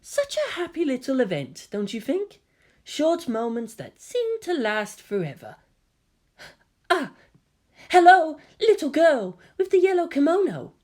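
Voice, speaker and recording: A woman voicing a coy, androgynous hybrid youth with genteel, gently teasing politeness and quiet menace. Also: high voice, big pitch swings, unhurried, pitched above the performer's own voice. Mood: gentle, gracious.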